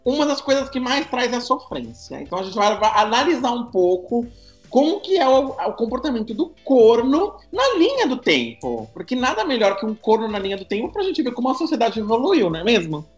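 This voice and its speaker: A man's voice: very high voice, huge pitch swings, brisk, roomy sound, soft background music. A man leading a podcast episode in a joking, teasing way. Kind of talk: casual podcast chat.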